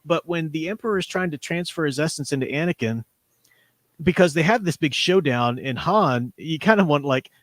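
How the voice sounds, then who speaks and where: A man's voice: a little high, animated, moderate pace, a bit bright, no room sound. A man hosting a casual livestream book discussion, talking in an enthusiastic, conversational way. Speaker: show host reading chat messages.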